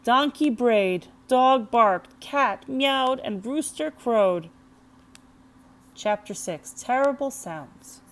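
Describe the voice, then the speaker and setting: A woman's voice: on the high side, animated, extremely slow. A woman teacher reading a children's storybook aloud as narrator.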